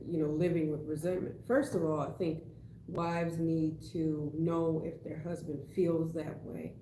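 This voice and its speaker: A woman in her forties co-hosting a casual discussion, frank and quick with teasing quips. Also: deep voice, mellow. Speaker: co-host. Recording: echoey room with faint noise.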